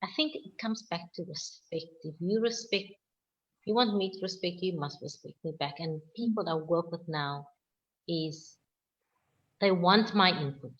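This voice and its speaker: A South African woman winemaker answering questions on a video call, speaking slowly and with firm emphasis. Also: on the low side, fairly bright tone, dull audio. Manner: slow, deliberate, emphatic. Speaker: winemaker guest.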